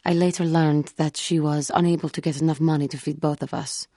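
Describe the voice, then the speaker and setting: A woman playing an earnest, wounded alien warrior in a video game, speaking formally and gravely in measured phrases. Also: low-pitched, fairly even intonation, dark tone, no room sound, studio quality. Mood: grieving. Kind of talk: video game character dialogue.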